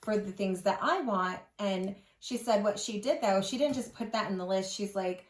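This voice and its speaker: A woman in her forties chats casually as a co-host, with a mid-range voice. Her phrasing is informal and full of "like". The room around her is clearly echoey. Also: medium pitch, normal speed. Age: 40s. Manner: informal, peppered with "like". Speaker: co-host of a witchy chat channel. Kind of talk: casual chat.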